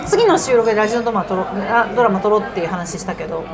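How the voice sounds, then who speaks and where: A woman chatting casually and playfully over food as a podcast co-host, animated and full of exclamations. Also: medium pitch, very fast. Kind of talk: casual chat while eating. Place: indoors in an oyster restaurant. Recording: busy restaurant with chatter. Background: crowd chatter of a restaurant.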